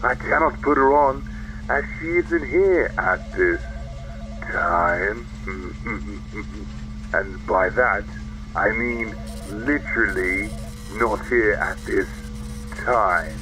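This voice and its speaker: A man's voice: on the low side, drawn-out words, music in the background, rough recording. A man playing a smug, sardonic villain in a sci-fi audio drama, drawling and taunting. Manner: drawling, taunting, theatrically casual.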